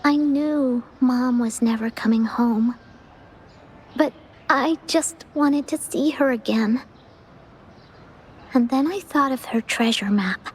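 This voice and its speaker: A woman voicing a timid, wistful young child in an anime-style video game, speaking softly and hesitantly. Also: high voice, takes it slowly, pauses often, slightly rough, exaggerated voice, rough recording. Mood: wistful, sad.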